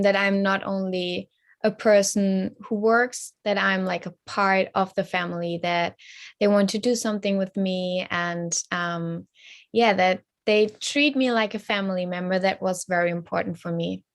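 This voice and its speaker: A woman's voice: mid-range voice, steady tone, normal speed, a bit bright. A woman in her twenties from Germany, speaking simply and carefully as an au pair. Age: 20s. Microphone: video call.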